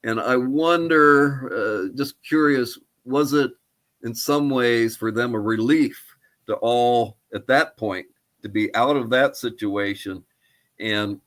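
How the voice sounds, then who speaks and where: An older man answering interview questions as a historian, speaking in a halting, thoughtful, tentative way. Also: mid-range voice, a bit bright. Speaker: archaeologist and historian guest. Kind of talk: interview answers about research.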